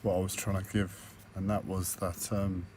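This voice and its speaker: A man with a croaky, hoarse voice, talking hesitantly with many fillers and restarts in a personal video diary recorded on a tablet. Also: low voice, level tone, moderate pace, a little mellow, slight background noise. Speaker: vlogger.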